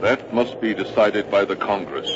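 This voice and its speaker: An actor playing a dignified, burdened Revolutionary War commander, speaking in measured, formal 1940s radio-drama style. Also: male voice, on the low side, steady tone, lightly acted. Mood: grave, resolute. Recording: noisy, dull old radio broadcast.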